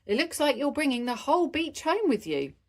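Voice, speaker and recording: Casting: woman as friendly adult rabbit lady, storybook guide to youngsters, expressive rise and fall. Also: high voice, fairly quick, pitched above the performer's own voice. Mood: exasperated. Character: kindly adult female rabbit, fossil-hunting guide.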